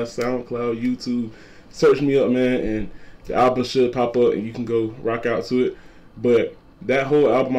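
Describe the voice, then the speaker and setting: A twenty-year-old man with a deep voice, a content creator talking casually to camera and repeating his points. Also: dark tone, a little hiss or hum. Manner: repetitive, laid-back slangy talk, repeats lines. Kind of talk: casual vlog talk to camera.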